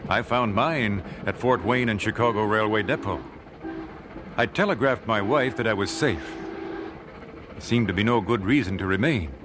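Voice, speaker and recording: An actor playing an earnest nineteenth-century American gospel singer in a radio drama, speaking in a measured, solemn, dramatic way. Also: male voice, on the low side, noisy background, natural voice. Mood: solemn, reflective. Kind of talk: radio drama narration and dialogue.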